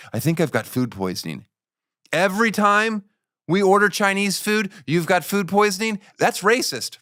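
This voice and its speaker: Male podcast host, mid pitch, joking and blunt, dry close recording. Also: fairly bright tone, no room sound. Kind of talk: comedic podcast banter.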